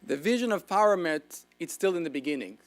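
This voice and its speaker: A man's voice: on the high side, animated, brisk, music in the background. A man with an Israeli accent, lecturing an audience with enthusiasm and asking them questions. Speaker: speaker.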